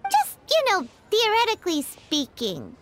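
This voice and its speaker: A woman's voice: extremely high pitch, wildly swooping pitch, a little slow, slight background noise, heavily acted. A woman voicing a small, childlike fairy companion in a video game, curious, cheeky and bubbly, with exclamations and hesitant asides. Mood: coy, playful. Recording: music playing behind the voice.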